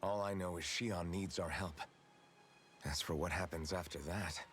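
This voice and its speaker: Very deep, brooding young warrior. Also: male voice, steady tone, fairly bright tone, a little hiss or hum. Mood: somber, resolute. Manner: solemn, measured, brooding. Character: guilt-ridden, earnest male fantasy warrior. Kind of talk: dramatic video game voice acting.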